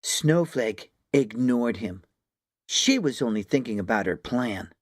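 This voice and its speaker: A man narrating a children's story from a script, with expressive, deliberate phrasing. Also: mid-range voice, no room sound, studio quality. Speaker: audiobook narrator.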